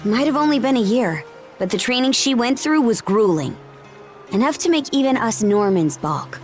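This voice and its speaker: A woman's voice: on the high side, animated, normal speed, darkish tone, faint music behind, natural voice. Bold, blunt warrior princess, played by a woman. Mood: firm, explaining. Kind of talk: video game cutscene acting.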